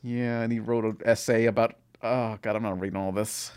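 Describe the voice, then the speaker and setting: A man's voice: fairly low pitch, fairly even intonation, brisk, darkish tone, clear, resonant tone, no room sound, studio quality. A man co-hosting a podcast in casual group banter, with a sarcastic, profane way of talking. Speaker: podcast co-host.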